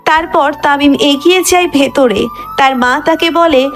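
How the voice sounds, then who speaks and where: High-voiced woman narrating a story over music. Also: a little slow. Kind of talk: scripted dramatic story narration. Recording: background music under the voice.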